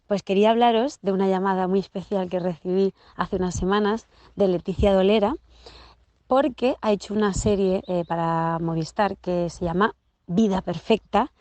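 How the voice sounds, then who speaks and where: A woman's voice: medium pitch, dry recording. Chatty singer-songwriter woman. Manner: chatty, warm, with repeated restarts. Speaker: singer-songwriter and radio contributor. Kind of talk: casual audio message. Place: home.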